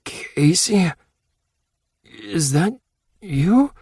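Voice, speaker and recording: Max, a man voicing a gentle teenage boy. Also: medium pitch, animated, slow pace, creaky, pitched above the performer's own voice. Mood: earnest, tender. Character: earnest, gentle teenage boy waking in hospital.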